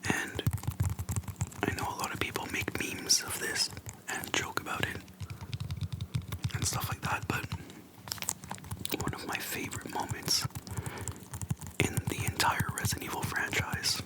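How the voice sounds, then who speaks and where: An ASMR creator speaking in a soft, breathy whisper close to the microphone, chatting casually and slowly in short, gentle phrases with pauses. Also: poor audio.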